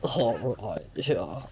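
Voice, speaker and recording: A man's voice: fairly low pitch, warm tone, slight background noise. A young man, playfully muttering reactions over his game. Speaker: gamer. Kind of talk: let's play commentary.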